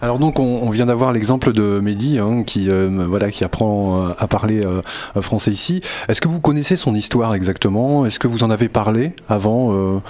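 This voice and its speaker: A man interviewing volunteers and a student, asking polite, measured questions. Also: fairly low pitch, a little mellow.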